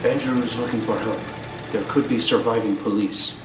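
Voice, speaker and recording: A man's voice: fairly low pitch, steady tone, moderate pace, natural voice. Male actor as wary outbreak survivor, blunt, urgent radio drama dialogue, roomy echo, away from the mic. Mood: grim. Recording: echoey, distant mic, faint music.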